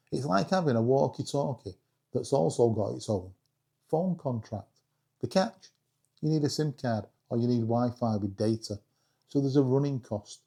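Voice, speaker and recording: A British man with a husky voice giving a casual, chatty hobby review with self-deprecating humour. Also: medium pitch, mellow. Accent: British English. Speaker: video creator reviewing radios.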